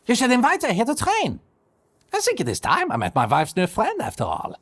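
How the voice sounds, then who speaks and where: A man playing a suave, possessive vampire husband who speaks in smooth, courtly endearments. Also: extremely high pitch, huge pitch swings, a little fast, a bit bright, exaggerated voice. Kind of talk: audio drama acting.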